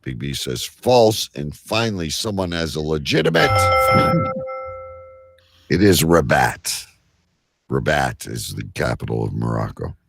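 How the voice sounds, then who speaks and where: A man hosting a bantering comedy quiz, halting as he sets up questions, then reading them out emphatically. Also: very deep voice, very animated, gravelly, background noise. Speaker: quiz host.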